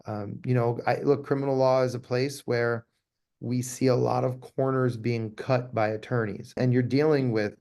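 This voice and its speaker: A man's voice: mid-range voice, little pitch movement, moderate pace, creaky, darkish tone. A man speaking conversationally as an interviewed law firm owner, emphatic and often repeating his key phrases.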